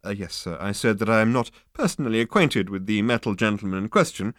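A man's voice: lowish voice, animated, fast talker, fairly bright tone, dry recording, clean studio sound. Man voicing polite, dogged little alien waiter. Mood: deferential. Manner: formal, deferential, hesitant, persistent. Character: small green male alien waiter, politely dogged, long-suffering.

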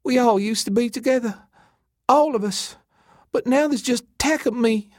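Fragile, needy story character, female, played by a man; very high pitch, plaintive, excitable, dry close recording. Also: normal speed, no room sound. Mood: tearful, plaintive. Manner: pleading, plaintive, repetitive. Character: fragile, weepy woman, needy and childishly excitable.

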